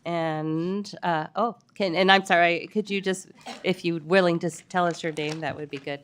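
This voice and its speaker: A woman chairing a council meeting, calling up speakers with halting, apologetic remarks over hard-to-read names. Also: on the low side, fairly even intonation, slight background noise. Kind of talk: meeting procedure.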